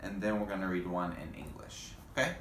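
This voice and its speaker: Guy teacher doing storytime for little kids, takes it slow and simple, echoey room w/ slight noise. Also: sits low, lively intonation, fairly bright tone. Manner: simple, deliberate phrasing with questions to the children. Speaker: elementary school teacher. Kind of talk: read-aloud lesson for young children.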